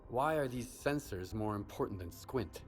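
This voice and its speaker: An actor playing a cool, businesslike male survivor hero in a video game, speaking in a terse, measured, matter-of-fact way. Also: on the low side, lively intonation, soft background music, lightly acted. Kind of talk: video game protagonist acting.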